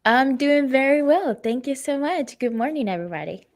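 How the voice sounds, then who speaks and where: Gravelly-voiced woman from Venezuela. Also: high voice, lively intonation, moderate pace, no echo. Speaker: guest. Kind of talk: interview.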